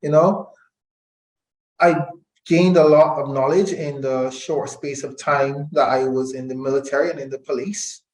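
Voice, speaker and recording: A middle-aged man from Barbados, explaining his views deliberately as an interview guest and repeating phrases for emphasis. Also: medium pitch, steady tone, darkish tone. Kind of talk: reflective conversational interview. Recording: echoey room.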